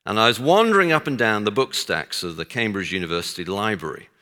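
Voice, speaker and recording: A middle-aged man with a Texan accent addresses a crowd in a big hall, his low, bright voice carrying a long reverb tail. He pauses often and slips in dry, self-deprecating jokes. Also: lively intonation. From Texas. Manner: dry self-deprecating humor, frequent hesitations. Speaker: guest speaker. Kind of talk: speech to an audience.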